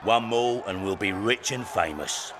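An actor playing a boastful, scheming fight promoter in a video game, with a grandiose way of talking. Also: male voice, fairly low pitch, a little slow, a crowd in the background, noisy background, close to an everyday voice. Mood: excited, showy. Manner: grandiose, speaks of himself in third person.

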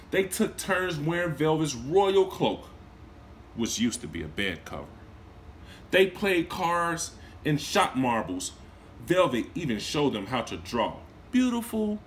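Man in his thirties, a teacher reading a picture book aloud with lots of swing in his voice, mid pitch, recorded on a phone in a small room. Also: a little hiss or hum. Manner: expressive storytelling with lively swings in pitch and volume; warm, encouraging talk to young children. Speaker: kindergarten teacher. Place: small indoor room, seated on a couch.

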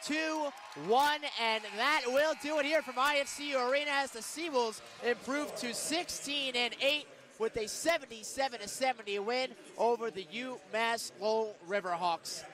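High-pitched man calling live basketball on radio. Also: normal speed, hardly any pauses. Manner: excitable, shouts on big plays. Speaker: radio play-by-play announcer. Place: indoor college basketball arena.

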